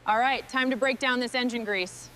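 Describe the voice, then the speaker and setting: A focused female crew boss acted by a woman gives short, instructing orders in a workshop drama. Her voice sits fairly high, and clear machinery noise runs behind it. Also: fairly even intonation, normal speed, lightly acted. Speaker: crew leader in a truck restoration.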